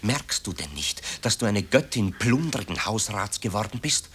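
Deep, sharp, bitter composer son. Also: male voice, animated, normal speed, slightly rough, heavily acted. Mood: anguished, scornful. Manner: eloquent, accusing, theatrical declamation. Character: bitter, guilt-ridden composer son confronting his mother. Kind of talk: German radio play acting.

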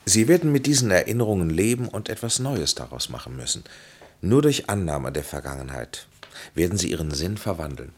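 An actor playing a grave, authoritative physician in a German radio play, speaking in measured, emphatic, formal phrases. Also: male voice, deep voice, very animated, husky, full character voice. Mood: firm, counselling. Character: grave, authoritative physician and counsellor, solemn and consoling.

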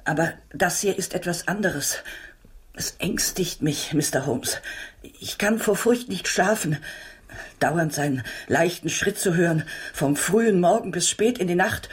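A woman's voice: fairly low pitch, unhurried, slightly rough. An actress playing an anxious, deferential working-class landlady in a German radio drama, speaking earnestly and fretfully. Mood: frightened, fretful.

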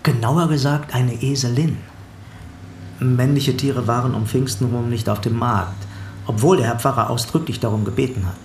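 A man's voice: medium pitch, normal speed, darkish tone, a little hiss or hum, lightly acted. A man acting a dutiful parish helper in a radio drama, giving a meticulous, matter-of-fact witness account. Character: dutiful male parish helper recounting to an investigator. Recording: roomy sound with faint background ambience.

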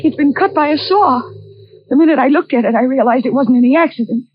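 An actress playing a frightened but plucky young sister in a 1940s radio thriller, earnest, breathless and dramatic. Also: female voice, high voice, quick pace, husky, dry recording. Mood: frightened, insistent. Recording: dull band-limited vintage radio recording.

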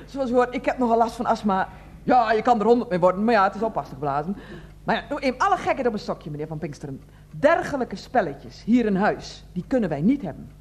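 A woman's voice: mid-range voice, big pitch swings, fast. Fussy, wheezy older woman, long-winded and indignant. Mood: insistent. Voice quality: wheezy, asthmatic breathing. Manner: long-winded, formal, repetitive, self-righteous. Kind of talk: Dutch radio play acting.

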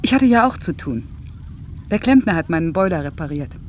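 An actress playing a lonely young single mother in a German radio play, gently reproachful and pleading with her lover. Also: on the low side, big pitch swings, a little fast, a little hiss or hum. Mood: wistful, anxious.

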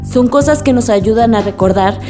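An actress playing a hopeful, affectionate young activist in a radio drama. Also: female voice, on the high side, moderate pace, over music, close to an everyday voice.

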